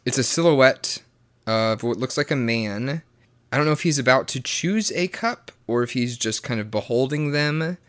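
A man's voice: medium pitch, animated, normal speed, fairly bright tone, clear, resonant tone. A man in his late twenties chatting casually as a tarot client, tentatively thinking aloud. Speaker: client receiving a tarot reading. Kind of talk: casual chat.

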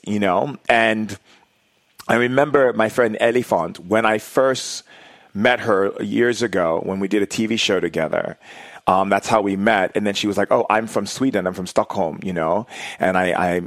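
A man's voice: on the low side, no echo. A man speaking conversationally as an interview guest, reflecting in an effusive way and repeating phrases for emphasis.

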